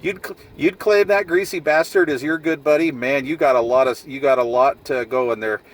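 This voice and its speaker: A man's voice: on the high side, normal speed. Folksy man on CB radio, off-mic. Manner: folksy, jokey. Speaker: CB radio operator filming a vlog. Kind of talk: casual CB radio chat with radio slang. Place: outdoors in a vehicle on a hillside. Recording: distant mic with background noise.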